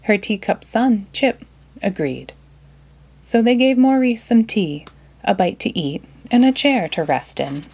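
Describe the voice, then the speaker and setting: Expressive woman reading a storybook aloud. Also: mid-range voice, a little slow, breathy edge. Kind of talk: children's storybook read-aloud.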